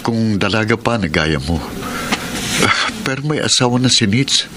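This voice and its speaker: A deep, earnest voice of a worried husband, played by a man in an old-style radio drama. He speaks in a troubled, confiding way. The broadcast carries steady background noise. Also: moderate pace, lightly acted. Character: worried young husband suspecting his wife. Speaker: narrator of his own story. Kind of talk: classic radio drama acting.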